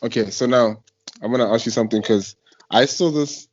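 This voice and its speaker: A young man with a South African accent hosts a chatty radio interview. His pitch sits a little low and his talk is informal and slangy. The sound is muffled, as from an internet call. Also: fairly quick. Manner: informal, slangy, effusive reactions. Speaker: radio show host. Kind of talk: casual chat interview.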